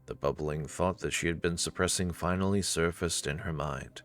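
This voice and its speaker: Very low-voiced woman narrating a story. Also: fairly even intonation, normal speed, over music. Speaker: visual novel narrator.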